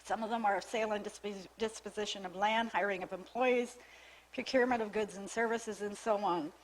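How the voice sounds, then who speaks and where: A woman's voice: medium pitch, fairly even intonation, a little fast, poor audio. Woman losing her voice, briefing a council. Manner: methodical, reads out clauses with small restarts. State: losing her voice, with frequent coughs. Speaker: municipal staff member presenting to town council. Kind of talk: formal briefing on legislation. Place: council chamber at a public meeting.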